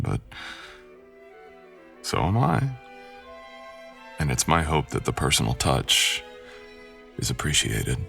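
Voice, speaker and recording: An extremely low, bright-edged male voice from an actor plays a mysterious, wealthy lord of the manor in a boyfriend ASMR roleplay. He is suave and possessive, with courtly, old-fashioned manners. Music plays behind him. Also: gravelly, exaggerated voice. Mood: formal, inviting.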